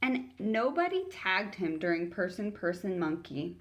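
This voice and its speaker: A woman's voice: mid-range voice, sing-song, measured pace. A woman reading a children's story aloud as a teacher would, narrating expressively for young listeners.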